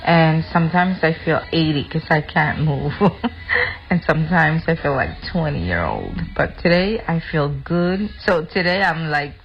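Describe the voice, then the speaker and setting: A woman's voice: sits low, lively intonation, a little slow, faint music behind. A woman in her fifties calling in to a radio show, candid and laughing. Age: 50s. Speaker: caller. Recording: dull lo-fi phone message.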